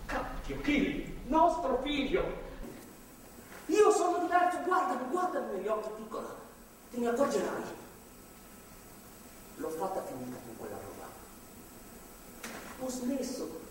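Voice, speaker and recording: In a reverberant hall, far from the microphone, a desperate reformed-alcoholic husband on stage. His high voice begs and stumbles, fervent and volatile, imploring with newfound religious zeal. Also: big pitch swings, full character voice. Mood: pleading, desperate. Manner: halting, imploring, swinging from hushed to shouting. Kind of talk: theatrical stage acting, impassioned monologue. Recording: echoey theatre hall, distant mic, faint noise.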